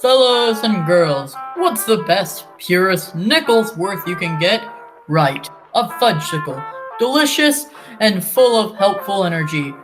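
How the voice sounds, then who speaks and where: A woman's voice: medium pitch, big pitch swings, measured pace, over music, rough recording. A young woman playing a cheery old-time radio announcer selling treats to children. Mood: upbeat selling. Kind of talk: 1940s radio ad acting.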